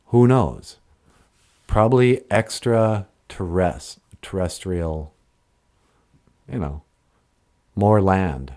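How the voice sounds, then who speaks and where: A man's voice: sits low, darkish tone. A man chatting on a livestream and answering his chat in a rambling way, often trailing off and correcting himself mid-sentence. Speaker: live streamer answering chat.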